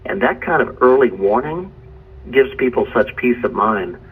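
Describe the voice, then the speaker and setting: Meteorologist, male, medium register with a husky edge, speaking earnestly and deliberately. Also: medium pitch, expressive, narrow, tinny audio. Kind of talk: earnest testimonial talk.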